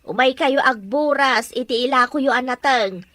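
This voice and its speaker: A hard-pressed farm mother in an Ilocano radio drama. Her voice is fairly high and bright, scolding in an Ilocano accent, recorded close and dry. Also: moderate pace, no room sound. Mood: firm, scolding.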